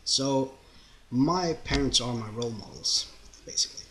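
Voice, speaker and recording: A man's voice: medium pitch, moderate pace, bright, forceful tone, a little hiss or hum. A young man from Sweden with a Swedish accent, rambling casually through viewer questions in his second language. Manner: chatty and rambling, loose grammar, frequent fillers. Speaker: YouTuber answering viewer questions. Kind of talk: casual Q&A vlog. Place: home, lives in Norway.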